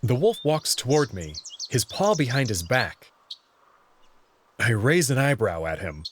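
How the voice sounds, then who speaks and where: Man as a bewildered narrator, lively pitch. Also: a little slow, a little hiss or hum. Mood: narrating, bewildered. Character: bewildered young human man narrating his own story. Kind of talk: first-person visual novel narration and dialogue.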